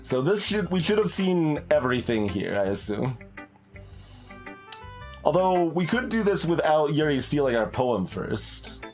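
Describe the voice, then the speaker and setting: A man's voice: fairly high pitch, big pitch swings, a little slow. A man giving casual live commentary on a game playthrough, hesitant and thinking aloud as he talks. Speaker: game streamer. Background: game music, guitar.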